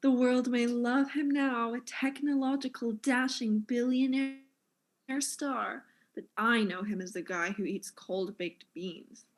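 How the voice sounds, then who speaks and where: Actress as conceited fashion designer, slightly high voice, catty, theatrical, mystery drama. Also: female voice, unhurried, a little hiss or hum. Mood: boastful. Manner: self-important, catty, theatrical. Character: vain, jealous space fashion designer, disdainful ex-colleague. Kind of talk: acted mystery audio drama.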